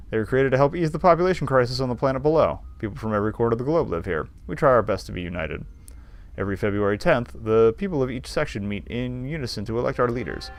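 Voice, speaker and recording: A male game streamer reading visual novel narration aloud, with wry, sardonic asides. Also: medium pitch. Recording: faint music behind voice.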